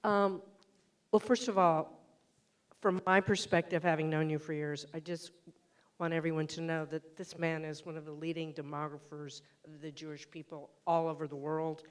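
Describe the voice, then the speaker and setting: Woman with a smooth, resonant voice. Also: deep voice, flat delivery, normal speed. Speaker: audience member asking a question. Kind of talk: public Q&A remarks. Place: synagogue hall.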